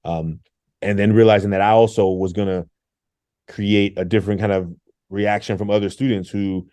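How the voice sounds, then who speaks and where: A middle-aged man, a former engineer turned teacher, telling animated stories in an interview, acting out quotes and laughing often. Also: on the low side, moderate pace, no echo. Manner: lively, acts out quotes with laughs.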